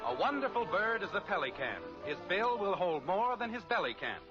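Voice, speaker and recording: Lively male old-time radio announcer, music behind. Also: high voice, fairly quick. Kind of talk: 1950s scripted radio announcing. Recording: muffled old radio recording.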